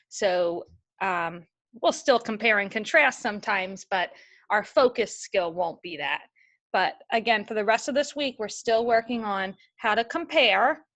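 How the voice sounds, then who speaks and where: Slow, bright-voiced woman teacher. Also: fairly high pitch. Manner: patient, step-by-step instructions to young children. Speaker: elementary school teacher. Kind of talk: remote class lesson, reading a picture book aloud.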